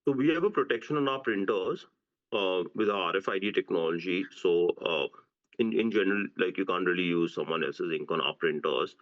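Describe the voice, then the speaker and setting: A man speaking as a company executive in unscripted business Q&A, halting and often restarting his phrases, on a conference call. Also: low voice. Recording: dull, lo-fi conference call audio.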